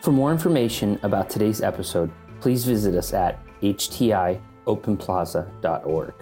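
Mellow male announcer over soft guitar. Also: fairly low pitch, normal speed. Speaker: podcast announcer. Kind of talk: scripted show intro. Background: faint guitar music.

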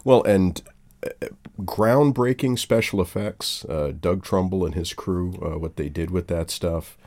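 A man's voice: sits low, a little slow, a little mellow. A man hosting a radio interview in a casual, conversational way, with an enthusiastic, appreciative manner. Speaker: radio show host and interviewer.

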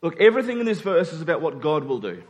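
A man's voice: a little high, big pitch swings, fast talker. A man preaching a conversational sermon in an informal way, acting out dialogue in everyday words. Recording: long hall reverb.

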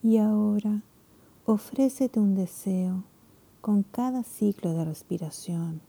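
A woman leading a guided meditation, speaking softly and soothingly, her phrases often trailing into whispers. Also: mid-range voice, slow pace, vocal fry.

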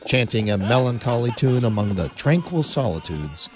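A man's voice: mid-range voice, sing-song, normal speed. A man narrating a radio play in a theatrical way, building tension through the telling. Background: music.

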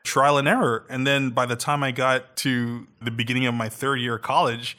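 A man's voice: mid-range voice, level tone, moderate pace, sharp tone. A man in his thirties hosting a podcast, chatting casually and animatedly with frequent laughs and fillers. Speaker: podcast host. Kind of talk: casual chat.

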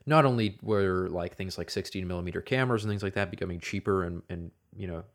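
A young man in his twenties with a very deep voice talks through film history at an easy pace. He sounds thoughtful and measured, leaning on fillers as he works out his points. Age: 20s. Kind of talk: casual film discussion.

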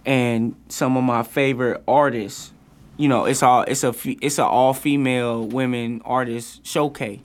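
A young man from St. Louis co-hosts a podcast in easy, slangy conversation. He shouts out local artists and spells their handles out slowly, one letter at a time. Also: mid-range voice, steady tone. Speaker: podcast co-host. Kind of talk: casual chat.

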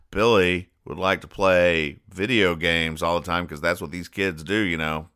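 A man hosting a podcast, describing comic strips in a halting, deadpan, mock-exasperated way. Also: low-pitched, clear, resonant tone. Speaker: podcast host. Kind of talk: comic commentary reading newspaper comics aloud.

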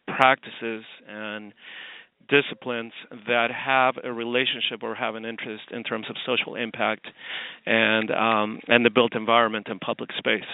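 A man who grew up in Chile, a guest on a call-in radio show, talking from his office in a reflective, halting way with many ums and restarts. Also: mid-range voice, flat delivery. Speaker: guest, artist and forum founder. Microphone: phone call. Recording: tinny phone line.

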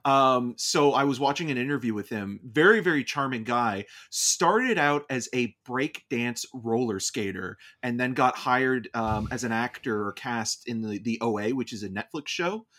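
Bright-voiced man giving analytical film commentary. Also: medium pitch, moderate pace, hardly any pauses. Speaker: podcast co-host and film reviewer.

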